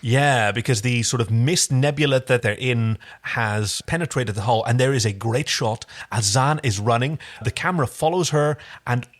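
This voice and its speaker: A man co-hosting a podcast, recapping a TV episode in an expressive, enthusiastic and casual way. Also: mid-range voice, normal speed, hardly any pauses. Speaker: podcast co-host.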